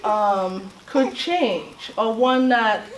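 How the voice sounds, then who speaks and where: Woman, from Latvia, informal talk, halting with fillers, far from mic, echoey room, faint background hum. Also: a little high. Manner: slow, halting, frequent fillers and restarts. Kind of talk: informal presentation to a group. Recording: roomy echo, voice far from mic, faint ambience.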